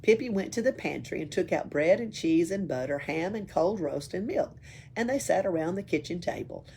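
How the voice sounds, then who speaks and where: A woman's voice: lowish voice, lively intonation, normal speed, mellow. A woman reading a children's story aloud as a library storyteller. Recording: faint background noise, room ambience.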